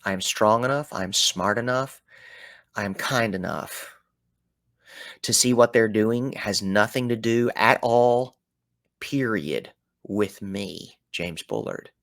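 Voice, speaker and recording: A man with a Southern US accent talking through his thoughts in a reflective solo show, animated, with folksy turns of phrase and sudden loud bursts. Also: fairly low pitch, slow pace, no room sound, clean studio sound. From American South. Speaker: podcast host.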